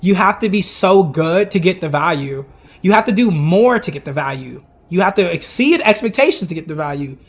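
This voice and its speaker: Man, hoarse, husky, a bit high-pitched, casual live-stream chat, talks to viewers, rambling asides. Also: animated, brisk. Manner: chatty, talks to chat directly, many asides and restarts. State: hoarse, losing his voice. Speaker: streamer reviewing viewers' game replays.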